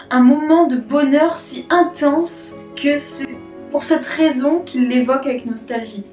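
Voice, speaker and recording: A woman who works as a clinical psychologist, telling a story gently and thoughtfully with many fillers as she hosts her own video. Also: high-pitched, echoey room, recorded from a distance. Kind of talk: storytelling to camera.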